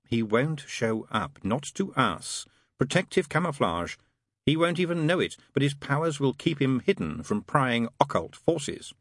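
A man's voice: mid-range voice, very animated, a little slow, no room sound, studio quality, voice pushed up. A man voicing a worldly, world-weary demon in an audiobook, with a sarcastic, offhand, drawling manner. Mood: irritable.

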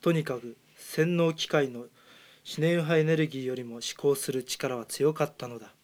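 A man's voice: mid-range voice, moderate pace, a little mellow, natural voice. A man playing a narrator who recounts his telepathic people's history in scripted amateur radio drama.

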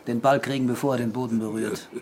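An actor playing a calm, stubborn, laconic police detective in a radio crime drama, speaking tersely and with understatement. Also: male voice, mid-range voice, quick pace, natural voice. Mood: terse, probing.

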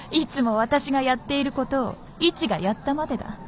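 Woman acting a haughty, high-voiced princess spirit. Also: moderate pace, slight background noise, natural voice. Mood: gleeful mockery. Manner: imperious, teasing, archaic speech. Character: haughty, mischievous princess spirit who possesses people. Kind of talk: Japanese period radio drama.

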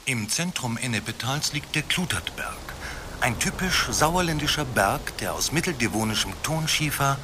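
An actor as a factual town chronicler, delivering formal documentary-style narration within a radio drama. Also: male voice, mid-range voice, lively intonation, moderate pace, noisy background, lightly acted. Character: factual, matter-of-fact encyclopedic town chronicler. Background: music.

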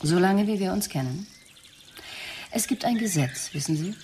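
A woman's voice: fairly low pitch, lively intonation, moderate pace, mellow, slight background noise. An actress playing a guarded, precise female psychotherapist under questioning in a radio crime drama, evasive but explanatory. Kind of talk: German radio drama dialogue.

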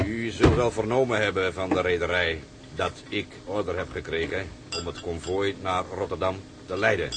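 An actor playing a stern convoy captain in a drama, formal, businesslike and commanding. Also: male voice, low-pitched, steady tone, moderate pace, background noise, natural voice. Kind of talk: dramatic dialogue.

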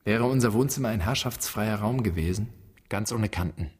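A man acting an anguished son haunted by his father's guilt, speaking in deliberate, poetic, questioning fragments in a radio drama. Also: on the low side, darkish tone, breathy edge. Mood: bitter. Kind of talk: stylized German radio drama monologue.

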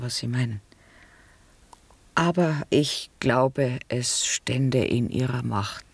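Husky, slow, world-weary wife. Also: female voice, low voice, no echo, heavily acted. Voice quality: husky, breathy. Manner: hushed, deliberate, grave. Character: weary, reflective married woman with guilty past. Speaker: actor. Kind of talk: German radio drama acting.

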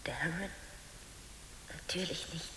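A woman playing an exhausted, resigned daughter in a radio drama, speaking in short, subdued, breathy answers. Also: lowish voice, slow, background noise. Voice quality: breathy, whispery. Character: exhausted, resigned grown daughter, calm and dutiful. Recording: echoey reverberant hall.

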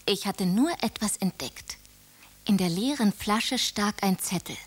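A woman's voice: medium pitch, a little slow, husky. An actress playing a young noblewoman who narrates her own adventure in a scripted radio drama. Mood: confiding. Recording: music behind.

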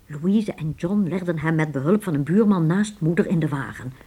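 Female storyteller for radio drama narration: lowish, dark, slightly raspy. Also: normal speed.

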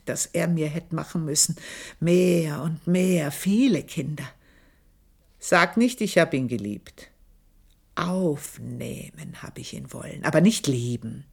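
An actress playing an aged maidservant, proud, bitter and passionate, who tells her story in a slow, intense radio play monologue and sometimes sinks to a hushed whisper. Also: low voice, creaky, breathy edge, full character voice. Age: older. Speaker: old maidservant telling her life story.

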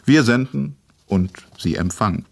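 An actor playing a mysterious radio announcer who addresses the listener directly in a deliberate, theatrical way, as in an old radio play. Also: male voice, medium pitch, animated, gravelly. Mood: knowing, provoking. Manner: deliberate, theatrical, pausing delivery.

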